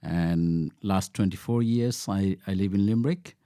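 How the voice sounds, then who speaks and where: A man from Bangladesh, a local councillor, answering interview questions in a halting way with many fillers and restarts. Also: deep voice, mellow, clean tone, no room sound, clean studio sound.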